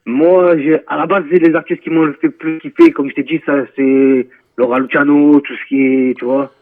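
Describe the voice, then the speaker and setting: A man's voice: a little high, steady tone, moderate pace. A man with a Marseille French accent, a rapper chatting informally as an interview guest, repeating himself and using slangy tags, over a phone-like line. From Marseille, France. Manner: informal, repetitive, peppered with southern slang tags. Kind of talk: casual interview chat. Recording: tinny, narrow phone-like line.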